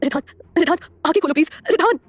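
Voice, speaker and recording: A woman's voice: high-pitched, measured pace, darkish tone, no room sound, pitched above the performer's own voice. A woman playing a tearful, distraught young woman. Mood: tearful, pleading. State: crying.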